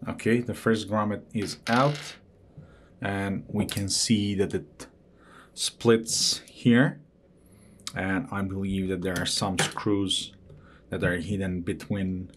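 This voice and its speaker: A man hosting an electronics repair video, walking through a teardown at an unhurried pace and thinking aloud as he goes. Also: sits low, a little hiss or hum. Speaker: electronics repair channel host. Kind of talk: hands-on repair walkthrough.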